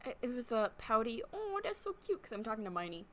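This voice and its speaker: A woman's voice: on the high side, very animated, fast talker, fairly bright tone, slight background noise. A young adult woman co-hosting a podcast in playful, giggly, sing-song casual chat over a remote call. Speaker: podcast co-host.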